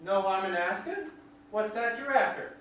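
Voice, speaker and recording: A neighborly, gruff small-town gas station man, played by a woman in a stage reading. The delivery is folksy and very animated in pitch. It echoes heavily, caught by a distant mic. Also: moderate pace, natural voice. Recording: very echoey hall, distant mic, faint noise.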